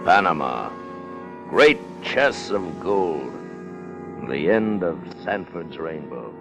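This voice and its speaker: Low-voiced, ruthless old-radio sailor. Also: male voice, very animated, takes it slowly, gravelly, heavily acted. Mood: gloating. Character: ruthless, brazen murderous sailor turned first mate. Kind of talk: 1950s radio drama acting and narration. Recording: muffled 1950 radio broadcast with music.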